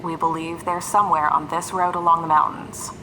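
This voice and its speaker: A woman voices a brisk military commander delivering a businesslike briefing. Her tone is bright and forceful, and she sits a little low for a woman. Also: level tone, slight background noise, poor audio.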